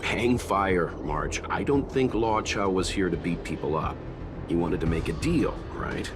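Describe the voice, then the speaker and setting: A man voicing a calm young man who comments dryly in a game cutscene. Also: low voice, unhurried. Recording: game audio over music.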